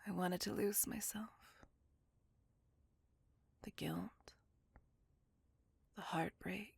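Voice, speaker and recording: A woman voicing a gentle, remorseful immortal vampire in an ASMR roleplay, speaking tenderly and intimately in a breathy whisper. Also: medium pitch, fairly even intonation, dry recording, heavily acted. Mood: remorseful, confessing. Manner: slow, tender, earnest confiding.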